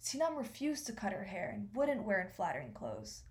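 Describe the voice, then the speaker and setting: A woman's voice: mid-range voice, wildly swooping pitch, moderate pace, a little mellow. An actress playing a stern woman who recounts family history as a storyteller in a radio play. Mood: stern, grave.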